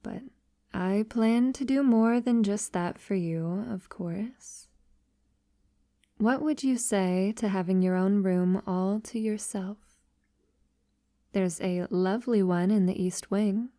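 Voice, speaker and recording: Soft, slow-spoken tender vampire lover played by a woman. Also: medium pitch, no room sound, studio quality, lightly acted. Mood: affectionate, reassuring. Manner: soft, breathy, often dropping into whispers, hesitant pauses. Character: tender, devoted female vampire lover, wealthy and long-lived. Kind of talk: intimate ASMR audio roleplay acting.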